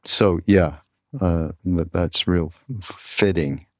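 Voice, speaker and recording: Male podcast host, low pitch, leisurely pace. Casual interviewer who meanders and pauses mid-thought. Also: fairly even intonation, dry recording, studio quality.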